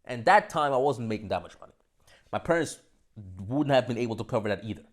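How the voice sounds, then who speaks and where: A young man from Austria talking straight to camera in a casual, animated monologue, swearing a lot and swinging between loud bursts and soft asides. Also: medium pitch, fast. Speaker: vlogger.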